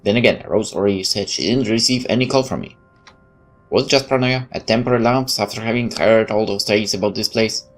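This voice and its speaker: A woman's voice: rumbling low, fast talker, faint music behind. A woman, a native Polish speaker, reading game narration aloud and commenting casually as a streamer, often stumbling and correcting herself. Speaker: let's play streamer.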